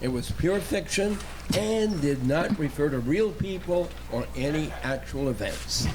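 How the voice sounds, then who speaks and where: A man's voice: fairly high pitch, animated, a little slow, background noise. A man playing an earnest, showy announcer in an old-time radio play. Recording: echoey distant stage, loud music and crowd. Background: music and crowd.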